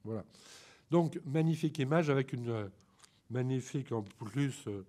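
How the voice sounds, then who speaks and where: A man with a bad head cold giving an informal lecture on a photograph, talking slowly with many ums and restarts. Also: medium pitch, animated, dark tone.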